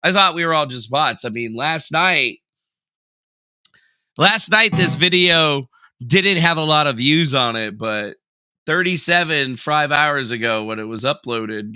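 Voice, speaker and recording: A man's voice: a little high, bright, forceful tone, smooth, no room sound. A young man casually hosting a livestream, joking sarcastically and reading viewer messages aloud. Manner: jokey, sarcastic, self-mocking; reads chat aloud and reacts. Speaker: livestream host.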